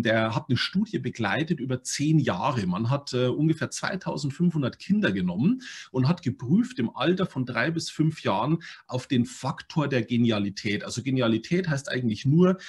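A middle-aged man giving an animated online conference talk over a webcam, explaining with many fillers and tag questions. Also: medium pitch, slightly rough. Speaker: language learning speaker. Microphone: webcam on video call.

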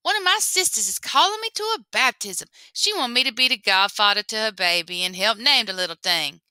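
A woman voicing a sly, boastful male rabbit trickster in a folktale, with a high, lilting, knowing way of talking. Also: expressive, fairly quick, pushed and bright, clear, resonant tone, no echo.

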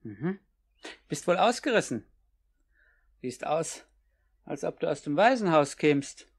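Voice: medium pitch, sing-song, takes it slowly, breathy edge, heavily acted. An actor playing a cocky, friendly young male vagabond in a 1950s German radio play, talking in a casual, breezy way. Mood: curious, casual.

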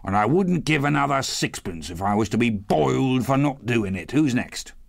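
A man reading aloud, voicing a sly old male pawnbroker who talks in a slow, wheedling way. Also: medium pitch, lively intonation, gravelly, no room sound, clean studio sound.

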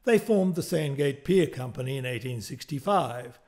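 A man's voice: mid-range voice, lively intonation, normal speed, a little mellow. A man reading scripted historical narration for a documentary.

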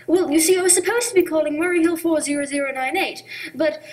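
A woman's voice: sits high, fast, slight background noise, lightly acted. A woman playing a nervous, indignant, imperious housewife who stammers insistently, in 1940s radio play style. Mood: panicked. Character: nervous, demanding, indignant wealthy housewife alone at night. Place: dark stage, masked, speaking into prop telephone.

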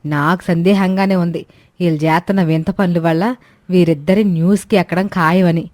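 A bewildered village mother-in-law from a cartoon family tale, voiced by a woman. She frets in homely rustic speech, on the lower side, recorded dry and close. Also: steady tone, moderate pace, a little mellow, dry recording, close to an everyday voice. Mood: fretful. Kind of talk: animated moral-story voice acting.

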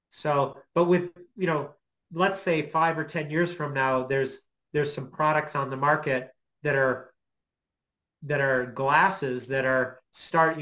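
A middle-aged man giving an informal lecture on a video call, explaining in a hesitant, halting way as he thinks aloud. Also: fairly high pitch, a bit bright, poor audio. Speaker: guest lecturer. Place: small home office, indoors.

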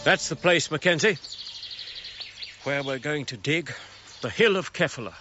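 An actor playing an eager, self-assured gentleman archaeologist, explaining keenly and emphatically in a radio drama. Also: fairly high pitch, moderate pace, music in the background, close to an everyday voice. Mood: confident. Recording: muffled, faint outdoor ambience noise.

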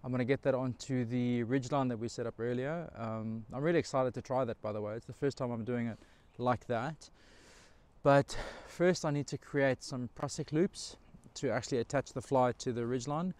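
A man's voice: medium pitch, fairly even intonation, normal speed, a little mellow. A man, chatting eagerly to camera while camping outdoors in a pine forest. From Australia. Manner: enthusiastic, chatty, talks straight to camera. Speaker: camping vlogger. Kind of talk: casual solo camping vlog. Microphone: camera mic, handheld selfie and tripod framing.

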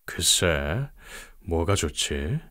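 An actor playing a modest, easygoing young computer builder in a children's audio storybook, amiable and thoughtful. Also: male voice, deep voice, very animated, extremely slow, fairly bright tone, full character voice.

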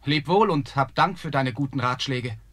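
An actor playing a brave, polite young prince in a fairy-tale audio drama. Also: male voice, mid-range voice, moderate pace, a bit bright, close to an everyday voice.

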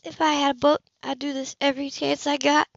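A woman voicing a lively, playful, bantering woman character in an audiobook. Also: high voice, a little fast, creaky, no echo, voice pushed up. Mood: eager, amused. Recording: dull, lo-fi home recording.

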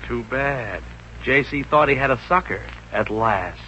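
A man's voice: mid-range voice, animated, measured pace, bright, forceful tone. An American actor playing a deferential but drily droll assistant to a businessman in a 1950s radio comedy. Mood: dry, droll. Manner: polite, dry, understated sarcasm. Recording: muffled old radio recording with hiss.

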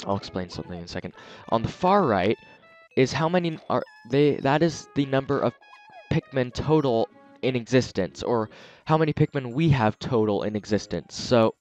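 A man hosting a let's play, chatting casually and explaining as he plays, his voice a little raspy and scratchy from a sore throat. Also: medium pitch. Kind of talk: casual gameplay commentary.

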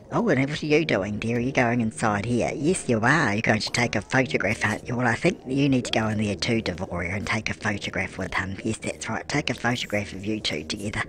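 A man's voice: lowish voice, close to an everyday voice. A man voicing a chatty, doting old grandmother, fussing, cooing endearments and answering her own questions while narrating a life-sim game. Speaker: gameplay narrator. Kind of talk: life-sim gameplay commentary in character. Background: music.

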